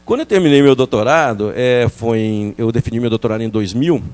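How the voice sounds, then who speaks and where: A middle-aged man from France, a researcher explaining his work in a TV interview, quick and animated, with many restarts and fillers. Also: medium pitch. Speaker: researcher guest.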